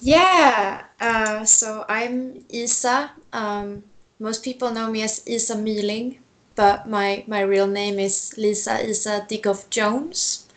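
A woman's voice: a little high, slow pace. Woman in her twenties, laughing easily. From Sweden. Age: 20s. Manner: relaxed, laughs often, many fillers. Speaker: guest, tattoo artist. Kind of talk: casual interview.

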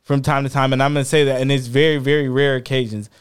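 A man co-hosting a casual podcast, talking in an animated, rambling way with many restarts, his voice fairly high. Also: quick pace, smooth. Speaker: podcast co-host.

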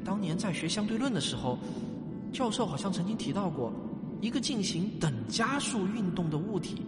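Man acting an excitable scientist dad in radio drama. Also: high voice, moderate pace, music in the background. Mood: puzzled, pondering. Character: astonished scientist father, excitable and doting.

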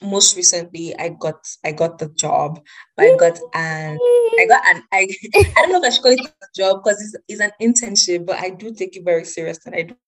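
A woman's voice: lowish voice, lively intonation, brisk, rough recording. A Nigerian woman in her twenties, a podcast co-host chatting casually and joking with a friend. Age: 20s. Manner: playful, joking, laughs often. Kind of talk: casual chat.

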